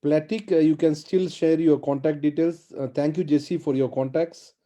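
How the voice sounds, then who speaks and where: A man's voice: fairly high pitch, steady tone, normal speed, mellow, dull audio. A man hosting an online training webinar, encouraging the attendees and repeating words of praise, with an African English accent. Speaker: webinar host. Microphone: video call.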